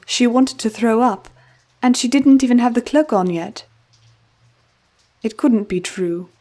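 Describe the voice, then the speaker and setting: A woman's voice: on the high side, a little slow, dark tone. A woman reading scripted story narration as the narrator of an audio drama. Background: music.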